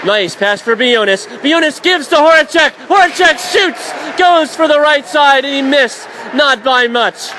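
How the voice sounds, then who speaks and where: Male hockey play-by-play announcer, high voice, faint noise behind, rising to shouts on big plays. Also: lively intonation, some chatter behind. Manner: rapid, rising to shouts on shots and saves.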